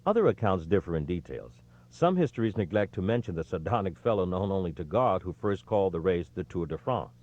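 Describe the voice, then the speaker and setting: A man with a lowish voice narrates an audiobook in a scripted, storytelling read. His intonation swings widely, with emphatic phrasing. The audio is slightly dull and lo-fi. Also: big pitch swings, fairly quick, no room sound. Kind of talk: scripted narration, reading a history book aloud.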